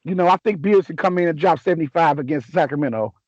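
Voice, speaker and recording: A man hosting a casual sports chat, a little raspy from a sore throat, talking in a laid-back, joking way with many restarts. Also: a little high, fast, darkish tone, no room sound, muffled recording. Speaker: podcast host.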